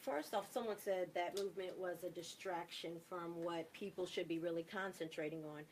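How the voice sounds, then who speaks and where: A woman's voice: on the low side, steady tone, moderate pace. A woman talking earnestly as a cast member on an interview panel, making her points assertively and with repetition. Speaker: guest, stage play cast member. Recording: clearly noisy background.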